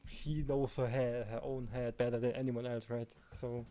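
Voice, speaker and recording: A man's voice: mid-range voice, little pitch movement, normal speed, mellow. A man narrating a visual novel aloud for a let's play, mixed with casual commentary.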